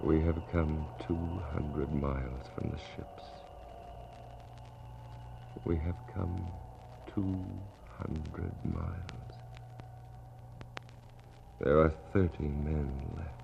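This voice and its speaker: Doomed polar officer, very low voice, gravelly, despairing, incantatory, poetic 1960s radio play, muffled noisy recording. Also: male voice, expressive, exaggerated voice. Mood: bleak exhaustion. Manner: slow, incantatory, halting delivery. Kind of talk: 1960s radio drama acting, poetic monologue.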